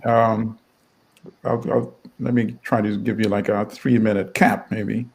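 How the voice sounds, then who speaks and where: A middle-aged man from Uganda, a publisher telling his story in an interview in a measured, wry way with frequent restarts. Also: lowish voice, level tone, creaky, a little mellow, slightly rough, rough recording.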